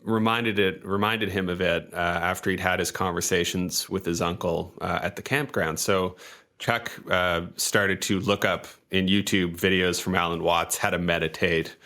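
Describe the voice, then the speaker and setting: A man playing a tabletop game, casually improvising a story about his character with halting starts. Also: sits low, steady tone, pushed and bright.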